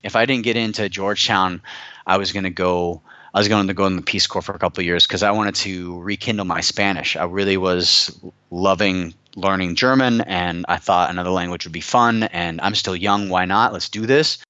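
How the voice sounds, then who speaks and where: A man's voice: low-pitched, fairly even intonation, moderate pace, sharp tone, no room sound, highs cut off. An American man talking conversationally about himself in an informal, emphatic storytelling way, as a guest in an interview.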